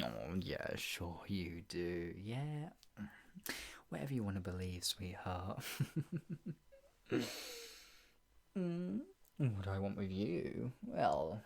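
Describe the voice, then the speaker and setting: This is a performer acting an obsessive, possessive kidnapper in an ASMR roleplay. The delivery is slow and smug, full of teasing sighs and chuckles. It often sinks toward a breathy, taunting whisper. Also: mid-range voice, a little mellow, full character voice.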